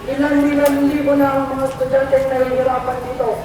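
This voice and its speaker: A woman's voice: high voice, flat delivery, a little fast. A young woman with a Filipino accent giving an emphatic, impassioned protest speech as a student speaker, appealing directly to her listeners. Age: young adult. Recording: echoey outdoor rally, distant, crowd noise, music.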